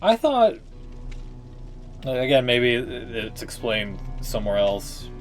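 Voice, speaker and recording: A man's voice: medium pitch, big pitch swings, slow. A man gives loose, joking commentary on a live stream, swearing freely as he reacts. Faint music plays under his talk. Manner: profane, joking.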